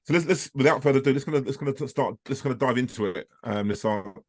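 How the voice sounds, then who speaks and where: A man hosting an online community event on a video call, introducing the session in a halting way and repeating words often. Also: mid-range voice, dry recording, clean studio sound. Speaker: host of a data community event.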